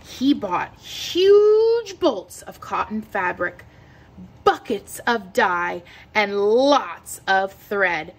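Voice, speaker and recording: A woman reading a children's picture book aloud with expressive, deliberate storytelling delivery. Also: medium pitch. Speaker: read-aloud teacher.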